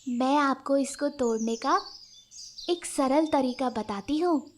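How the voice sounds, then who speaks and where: A clever vixen voiced by a woman with a high, sweet voice. She coaxes slowly and smoothly, as a friendly trickster in a children's animated fable. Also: slight background noise. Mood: sweetly persuasive. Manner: sweet, coaxing, unhurried. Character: clever, sweet-talking female fox, friend of turtle.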